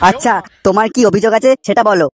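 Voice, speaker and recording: A man's voice: sits high, very fast, very noisy, natural voice. A man playing a businesslike, matter-of-fact police officer in a comedy cartoon. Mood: businesslike, cautioning.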